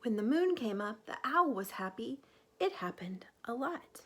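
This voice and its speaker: Slow, higher-voiced woman reading to children. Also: sing-song. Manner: gentle, pausing to ask questions and point things out. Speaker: teacher reading a picture book aloud to children. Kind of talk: children's read-aloud with questions to the listener.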